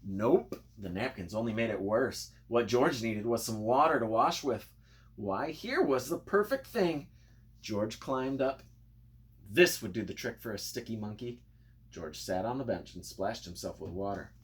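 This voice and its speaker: A man narrating a children's storybook in an expressive, playful way, speaking directly to young listeners. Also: on the low side, a little slow. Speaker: storybook reader.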